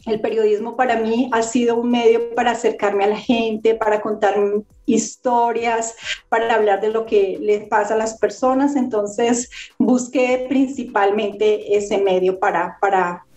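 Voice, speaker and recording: A woman's voice: on the high side, steady tone, a little slow, darkish tone. A woman writer from Colombia with a Bogotá accent, speaking earnestly and reflectively as an interview guest over a video call. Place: home room indoors. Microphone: laptop mic on video call. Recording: roomy lo-fi video call audio.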